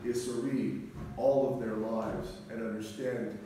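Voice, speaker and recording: Middle-aged man, Orthodox priest, solemn sermon, mellow voice, measured pauses, very echoey church. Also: mid-range voice, fairly even intonation. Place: large church interior, speaking from the front. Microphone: distant camera microphone. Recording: echoey church, distant mic, faint noise.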